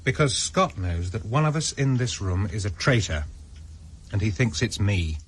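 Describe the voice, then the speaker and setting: A man's voice: fairly low pitch, lively intonation, moderate pace, slight background noise, close to an everyday voice. A man playing a sombre, self-blaming intelligence chief in a radio drama. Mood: resigned, grave.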